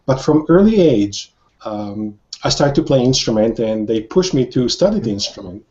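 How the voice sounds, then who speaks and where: A man's voice: mid-range voice, sing-song, moderate pace, mellow. Older guy from israel chatting with enthusiasm, stumbles and repeats words, slightly dull call sound. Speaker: interview guest. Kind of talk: conversational interview.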